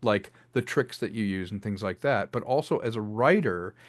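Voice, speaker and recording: A man's voice: lowish voice, normal speed, no room sound. A director and writer in a casual interview, talking about his audio drama and slipping into voiced quotes. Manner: animated, slips into voiced quotes, laughs between thoughts.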